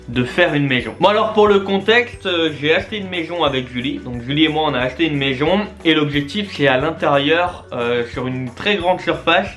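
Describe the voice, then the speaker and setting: A man's voice: on the high side, a bit bright. A man in his twenties chatting casually and eagerly to his viewers, with many fillers and restarts. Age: 20s. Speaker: YouTube and Twitch creator. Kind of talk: casual vlog address to viewers.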